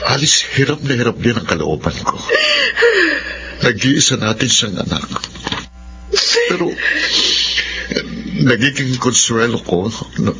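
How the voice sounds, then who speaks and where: A man's voice: medium pitch, lively intonation, a little slow, long pauses, pushed and bright, a little hiss or hum. An actor playing a patient, grieving middle-aged father with a Filipino accent in a melodramatic radio drama. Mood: pained. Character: grieving, patient father trying to calm his wife. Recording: dull radio recording under music.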